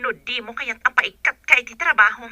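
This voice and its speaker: Woman as betrayed wife, northern Filipino accent, accusing and combative, high and extremely sharp. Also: fairly quick, no room sound, dull audio. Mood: demanding. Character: wronged wife and mother, demanding, accusing, combative. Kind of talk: radio drama acting.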